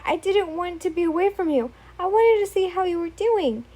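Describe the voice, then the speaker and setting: A woman's voice: very high voice, lively intonation, moderate pace, mellow, voice pushed up. Woman reading as a clingy, pleading young man. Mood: coaxing. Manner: pleading, emotional.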